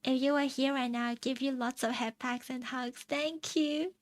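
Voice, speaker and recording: A young woman from China chatting casually on stream, answering her chat in a soft, rambling way and laughing often. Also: high voice, fairly even intonation, moderate pace, no room sound. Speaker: VTuber streamer. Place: home streaming setup.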